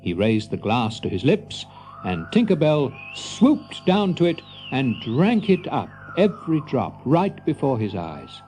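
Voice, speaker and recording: A man narrating a scripted children's story dramatically, as an expressive, confiding storyteller. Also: medium pitch, unhurried, soft background music.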